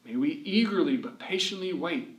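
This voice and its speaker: Children's storyteller: man, high-pitched, animated and encouraging, recorded at a distance. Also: moderate pace. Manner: animated, encouraging, asks questions and answers them. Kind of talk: read-aloud story lesson for children. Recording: roomy echo, distant microphone.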